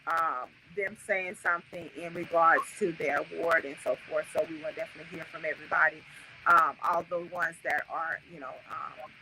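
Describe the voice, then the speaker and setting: A woman hosts a casual online book show, speaking from her phone. Her voice is bright and a little sharp. She talks in a loose, folksy way, full of fillers and restarts. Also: low-pitched, steady tone, moderate pace, slight background noise, rough recording. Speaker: host. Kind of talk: casual livestream chat.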